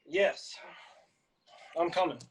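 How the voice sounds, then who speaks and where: A man's voice: sits high, slow pace. An actor playing an earnest, loyal young Appalachian man in a radio drama. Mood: urgent.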